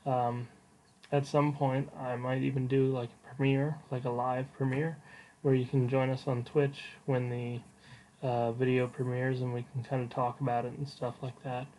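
A man hosting a casual solo podcast, giving an update in an informal, unscripted, halting way. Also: medium pitch, flat delivery, mellow, slight background noise, poor audio. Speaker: podcast host.

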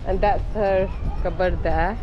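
A woman's voice: on the low side, steady tone, unhurried, lo-fi sound, noisy background. Chatty woman travel vlogger. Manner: chatty, mixes Hindi-Urdu phrases into English. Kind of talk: casual travel vlog narration.

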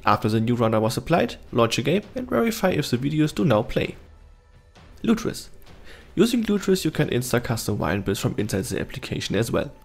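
A man's voice: medium pitch, very animated, a little fast, gravelly, breathy edge. A man reading scripted step-by-step instructional narration as a tutorial host. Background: background music.

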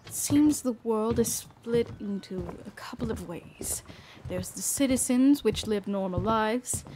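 Woman playing a slow-spoken, wary bookish young traveler. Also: on the high side, noisy background, lightly acted. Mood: musing. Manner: musing, sighing, measured. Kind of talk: fan audio drama monologue. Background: music.

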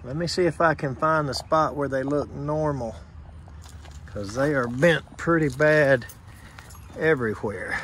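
A man working as an HVAC technician, giving a plain, unhurried on-site explanation outdoors beside air conditioner units, recorded on a handheld phone camera. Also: on the high side, a bit bright, slight background noise. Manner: plain, unhurried, points things out step by step.

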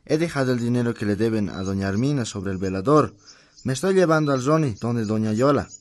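A man's voice: mid-range voice, lively intonation, a little fast, close to an everyday voice. Man acting a responsible young Bolivian son. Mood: brisk. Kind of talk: radio drama acting.

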